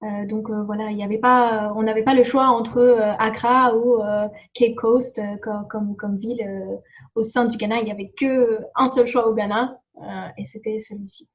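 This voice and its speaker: A woman's voice: a little high, steady tone, normal speed. A young woman whose first language is English, answering interview questions casually with many fillers and restarts. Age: young adult. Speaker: exchange student guest.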